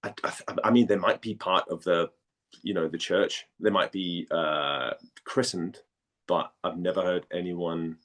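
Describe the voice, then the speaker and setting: Very low-voiced man chatting casually. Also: fairly even intonation, a little slow, fairly bright tone. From Denmark. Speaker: voice actor and model, interview guest. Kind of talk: casual interview chat.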